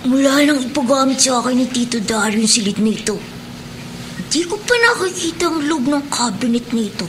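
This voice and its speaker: Meek, very high-pitched young boy. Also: animated, moderate pace, soft background music. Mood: curious, delighted. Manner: polite, deferential, stammers when flustered. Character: young boy Mark, poor former snatcher, meek and eager. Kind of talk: Filipino radio drama acting.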